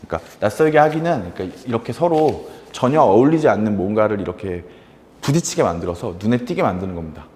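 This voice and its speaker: Animated man lecturing, lively pitch. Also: quick pace, big-room sound. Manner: animated, with many fillers and acted-out examples. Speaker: fiction writing instructor. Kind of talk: lecture on writing fiction.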